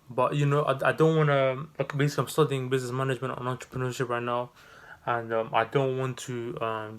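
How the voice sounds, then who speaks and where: Man in his twenties, from the Netherlands, casual vlog, unscripted, rambling with fillers, fairly flat delivery. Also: medium pitch. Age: 20s. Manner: rambling, many fillers and restarts. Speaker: vlogger and new business owner.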